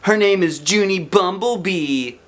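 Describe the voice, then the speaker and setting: A man's voice: sits high, unhurried, a bit bright, pitched above the performer's own voice. A man voicing a chatty little kindergarten girl who tattles in a rambling way, from a children's storybook. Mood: teasing.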